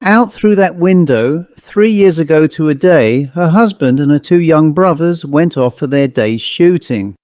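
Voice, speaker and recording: A man's voice: fairly high pitch, animated, a little slow, few pauses, darkish tone, dry recording, voice pushed up. A man voicing a self-possessed, sly fifteen-year-old girl in a storybook, with a poised, confiding manner. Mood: solemn, confiding.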